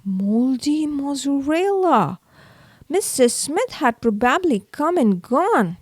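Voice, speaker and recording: A woman's voice: sits high, big pitch swings, takes it slowly, no echo, studio quality, voice pushed up, heavily acted. Woman voicing a flustered, earnest young mouse hero. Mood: dismayed. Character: anxious, dramatic young male mouse narrator-hero, easily flustered.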